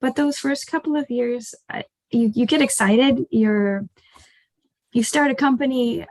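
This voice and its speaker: A woman's voice: fairly high pitch, a little slow, a little mellow, no room sound, low-quality recording. An American woman giving an informal, conversational webinar talk as a startup co-founder over a video call. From rural Ohio, United States. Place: indoors, online video call.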